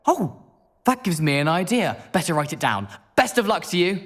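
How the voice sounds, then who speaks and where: A man playing an eager, reckless teenage schoolboy tinkerer in a video game, earnest and excitable. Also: high voice, normal speed, soft background music. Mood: excited, defiant. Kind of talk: video game character acting.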